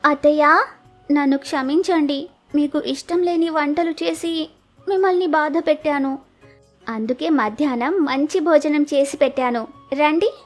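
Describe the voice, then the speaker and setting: A young daughter-in-law in a village tale, played by a woman narrator, high-pitched and polite. She coaxes sweetly and innocently, eager to please, over background music. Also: unhurried. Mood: apologetic. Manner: polite, cheerful coaxing. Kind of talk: animated village moral story acting.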